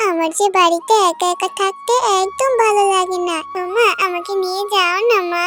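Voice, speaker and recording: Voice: extremely high pitch, a bit bright. A performer voicing an insistent, pleading little girl in a children's cartoon, wheedling and repeating herself. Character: pleading, insistent little girl begging her mother for treats.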